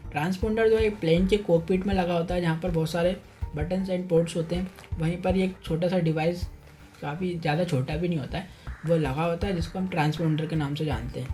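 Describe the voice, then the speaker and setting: Mellow, soft-toned male explainer. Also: on the high side, fairly even intonation, fairly quick. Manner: explanatory, repetitive, addresses listeners as friends. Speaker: educational video presenter. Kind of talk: informal explainer narration. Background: faint music.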